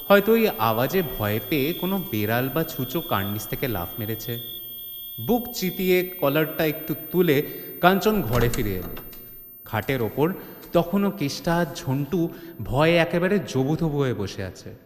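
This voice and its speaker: A man's voice: fairly high pitch, big pitch swings, moderate pace. A man narrating a comedy-mystery story in a theatrical, suspenseful way, with touches of mock alarm. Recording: long hall reverb, music bed.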